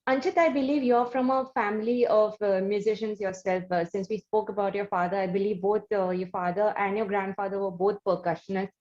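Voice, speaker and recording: A woman with an Indian accent hosting an interview, putting questions in a halting, roundabout way. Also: mid-range voice, fairly even intonation, darkish tone. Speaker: interviewer and show host. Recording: dull, muffled lo-fi audio.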